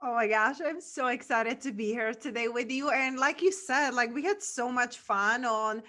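A woman's voice: fairly high pitch, moderate pace, sharp tone. A woman from the Czech Republic with a Czech accent, talking as a guest on a business podcast in an earnest, effusive way with many fillers. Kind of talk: casual interview chat.

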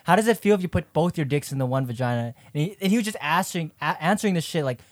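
A man's voice: on the high side, animated, racing, dry recording, clean studio sound. A young man in casual, crude banter with friends, speaking in slang and swearing often.

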